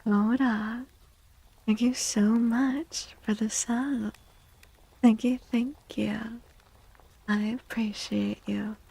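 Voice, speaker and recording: A woman streaming soft-spoken ASMR, gently chatting in a breathy, often whispery voice and softly repeating phrases in a soothing way. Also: fairly high pitch, steady tone, very slow, pauses often, no room sound, low-quality recording.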